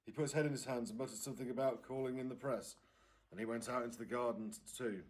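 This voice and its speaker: A man's voice: medium pitch, steady tone, fast. A man with a British accent, a primary school teacher, reading a children's story aloud expressively.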